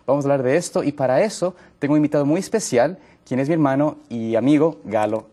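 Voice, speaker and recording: A man hosts a television health program from a studio. His voice is warm and mellow, his delivery friendly and plain-spoken, with the odd self-correction. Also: mid-range voice, fast. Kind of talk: TV talk show hosting.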